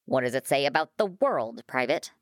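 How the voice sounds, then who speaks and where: This is a stern military superior from a science fiction tale, played by a woman. He is contemptuous and commanding, barking at subordinates. His pitch swings widely, and the recording is very dry. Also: animated, normal speed, no room sound, close to an everyday voice. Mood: stern lecturing.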